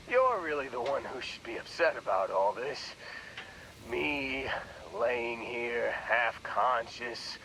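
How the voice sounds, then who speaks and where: An actor playing a groggy young space miner in a video game, talking in a wry, rambling, hesitant way. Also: male voice, mid-range voice, background noise. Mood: sheepish, wry. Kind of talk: video game character dialogue.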